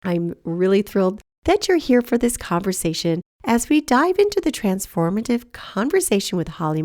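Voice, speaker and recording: A woman's voice: mid-range voice, a little mellow, no room sound, studio quality. A woman hosting a podcast, a little sniffly from a cold, who reads an earnest, enthusiastic introduction and then moves into casual interview chat. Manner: earnest, enthusiastic, with a few fillers and restarts. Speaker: podcast host.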